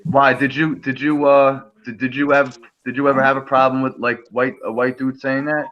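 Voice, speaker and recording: A man's voice: medium pitch, flat delivery, normal speed, muffled recording. A man from New York chatting casually on a group livestream call, profane and rambling with lots of restarts. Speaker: livestream guest.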